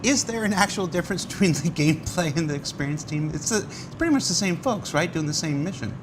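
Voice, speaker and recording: A man's voice: fairly high pitch, lively intonation, fast, background noise. A man hosting a conversational talk show, explaining things and putting questions to guests. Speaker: show host.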